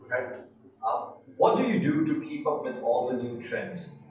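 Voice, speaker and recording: A man's voice: mid-range voice, very animated, normal speed, a little mellow, a little hiss or hum. A man interviewing a guest, asking brief, plain questions. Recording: very echoey, far from mic.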